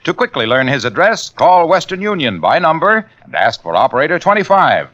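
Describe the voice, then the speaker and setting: A man's voice: on the high side, very animated, fairly quick, fairly bright tone. An actor playing a cheerful salesman in a 1940s radio commercial. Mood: upbeat. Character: hearty male salesman, cheerful. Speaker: pitchman in a radio ad. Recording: dull 1940s radio broadcast.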